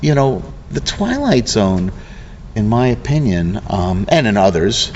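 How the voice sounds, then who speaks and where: Low-pitched American man, earnest and halting. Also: sing-song, darkish tone. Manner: earnest, halting, circles back mid-sentence. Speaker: interviewee, local arts program representative. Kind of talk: casual interview conversation. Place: event venue before a program starts. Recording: dull, noisy on-location recording.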